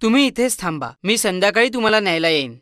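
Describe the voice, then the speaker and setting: A woman voicing a poor, gentle, loving woodcutter father in a children's fairy tale. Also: medium pitch, animated, fast, no echo, clean studio sound, pitched below the performer's own voice, natural voice. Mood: reassuring.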